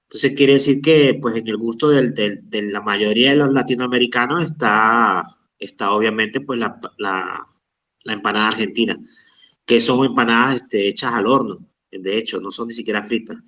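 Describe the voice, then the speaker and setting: A man's voice: on the low side, normal speed, sharp tone, far from the mic. A man hosting a podcast, talking casually and hesitantly with many fillers and restarts. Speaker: podcast host.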